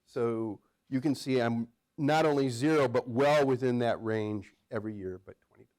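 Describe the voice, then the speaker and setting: A man's voice: mid-range voice, normal speed, a little mellow. Midwest guy lecturing on the economy to a small room, joking around, chatty. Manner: conversational and wry, asks the room questions. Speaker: economics professor, featured speaker. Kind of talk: live lecture to an audience. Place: small room with live audience.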